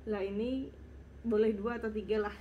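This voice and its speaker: A woman's voice: medium pitch, fairly even intonation, moderate pace, muffled recording, slight background noise. A young woman vlogger with a congested, slightly nasal voice, chatting casually through viewer questions and a little short of breath. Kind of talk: casual Q and A chat.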